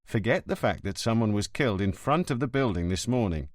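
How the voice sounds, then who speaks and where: A man's voice: fairly low pitch, expressive, fairly quick, no echo, natural voice. A man voicing a brusque, no-nonsense police inspector who speaks curtly and gives orders. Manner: curt, commanding, dry. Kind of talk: audiobook character voice.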